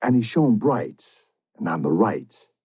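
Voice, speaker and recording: A man's voice: fairly low pitch, big pitch swings, slow, dark tone, slightly rough, no room sound, studio quality, heavily acted. A man voicing an old weathered male sailor recounting his voyage in a grave, intoning manner.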